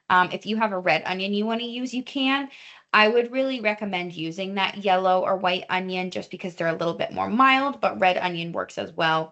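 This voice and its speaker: A woman's voice: medium pitch, fairly bright tone. A young adult woman, a dietitian, leading a live online cooking class in a chatty, friendly way with many fillers, recorded over a video call. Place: home or teaching kitchen.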